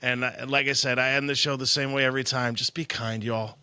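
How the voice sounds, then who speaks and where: A man's voice: medium pitch, flat delivery, a little fast, bright, forceful tone, clear, resonant tone, no room sound. A man hosting an interview, easygoing and friendly, with lots of casual agreement. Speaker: podcast host.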